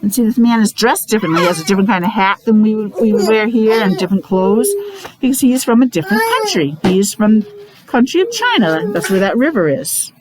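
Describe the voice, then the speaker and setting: A woman, a teacher reading a picture book aloud to young children, pausing to explain and ask questions in simple words. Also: mid-range voice, sing-song, very noisy. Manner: child-directed, asking questions, repeating words for emphasis. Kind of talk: animated read-aloud with casual explanatory asides.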